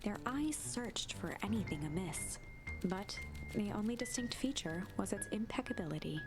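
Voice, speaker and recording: A woman's voice: mid-range voice, lively intonation, moderate pace. Woman narrating a storybook game over music. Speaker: video game let's player and narrator. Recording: music clearly behind the voice.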